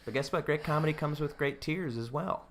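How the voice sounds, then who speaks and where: A man's voice: on the high side, brisk, a little hiss or hum. A man in his twenties chatting casually and playfully as a comedy podcast co-host. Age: 20s. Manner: jokey, playful.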